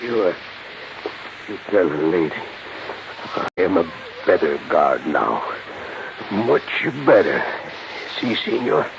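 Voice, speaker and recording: Muffled old radio part: earnest Italian immigrant guard, gravelly, broken English, swooping intonation. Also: male voice, deep voice, big pitch swings, takes it slowly, long pauses, full character voice. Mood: weak, proud. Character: excitable, loyal Italian immigrant museum guard. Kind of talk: 1950s radio drama acting. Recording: muffled, noisy 1950s radio recording.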